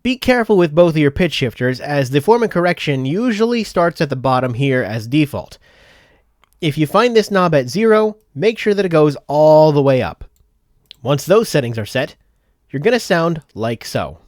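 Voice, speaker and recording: A man's voice: fairly high pitch, very animated, normal speed, a little mellow, no room sound. Dry, methodical male tutorial narrator. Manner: methodical, explanatory. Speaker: software tutorial host. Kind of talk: step-by-step instructional voice-over.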